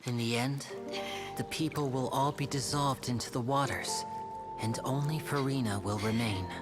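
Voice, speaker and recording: A woman's voice: extremely low pitch, normal speed, music in the background. A woman playing a solemn prophecy narrator in a video game, grave and portentous. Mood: ominous, solemn.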